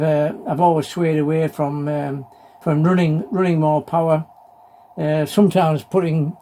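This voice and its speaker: A middle-aged or older man talking casually in an unscripted hobby vlog, halting and hesitant, often repeating his phrases. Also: on the high side, dark tone. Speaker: amateur radio hobbyist presenting a video. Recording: clearly noisy background.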